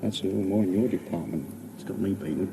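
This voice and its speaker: A man's voice: deep voice, fast talker, gravelly. A man chatting as a quiz contestant on a 1950s show, telling tales in a hesitant, understated way with jaunty slang. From outside the United States. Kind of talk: casual anecdotal chat. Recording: 1950s radio recording, faint noise.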